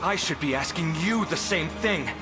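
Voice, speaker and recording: A man's voice: fairly high pitch, lively intonation, moderate pace, over music, close to an everyday voice. A man playing an earnest, aggrieved young freedom fighter in a fantasy video game. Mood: accusing, anguished. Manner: earnest, impassioned.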